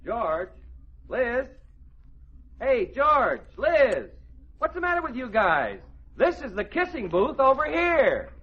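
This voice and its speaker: An actor playing a bewildered, excitable friend in an old radio comedy style, calling out. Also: male voice, extremely high pitch, takes it slowly, pauses often, exaggerated voice. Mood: puzzled, calling. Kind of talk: 1940s-50s radio comedy acting. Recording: dull old radio recording.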